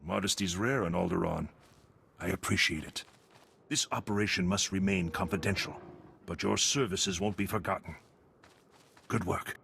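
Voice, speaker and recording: An actor playing an urgent, secretive undercover agent in a video game, giving precise briefings in a gravelly voice. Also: male voice, deep voice, moderate pace, pauses often, sharp tone, a little hiss or hum, heavily acted. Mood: earnest, approving. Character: male Republic intelligence agent undercover, urgent, secretive, earnest.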